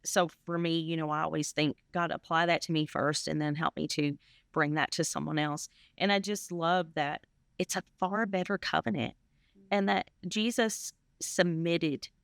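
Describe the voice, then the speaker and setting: A woman's voice: sits low, fairly even intonation, normal speed, creaky, no room sound, clean studio sound. A woman interview guest giving casual personal testimony in an earnest, emphatic way.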